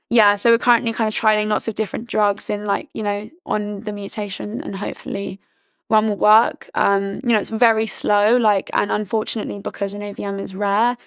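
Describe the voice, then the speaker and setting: A woman in her early twenties from Britain, chatting casually as a podcast guest, full of "like" fillers and restarts, her voice slightly hoarse. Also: medium pitch, no echo. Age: early 20s. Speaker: podcast guest, charity founder. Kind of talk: casual interview chat.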